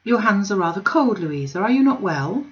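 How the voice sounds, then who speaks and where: A woman's voice: mid-range voice, very animated, moderate pace, highs cut off, natural voice. A woman reader playing a grave middle-aged father from a classic novel, formal and earnest. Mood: serious, kindly.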